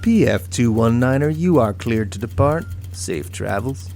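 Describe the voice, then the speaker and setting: A man's voice: mid-range voice, animated, unhurried. Dutiful navy crewman over music. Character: dutiful male navy crewman, crisp radio-style reports. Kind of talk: audio comedy drama acting.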